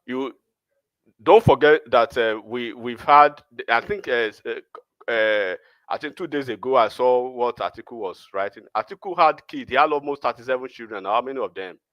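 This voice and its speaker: Nigerian man, Yoruba accent, rambling political chat, mid-pitched voice, dry sound. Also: normal speed, a bit bright, no echo, muffled recording. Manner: rambling, many restarts and fillers.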